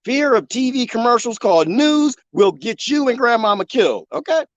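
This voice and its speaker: A man live streaming from the desert, joking and rambling in a loose, animated way. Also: extremely high pitch, moderate pace, no room sound, highs cut off. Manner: animated, joking, imitates bird chirps. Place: outdoors in the desert.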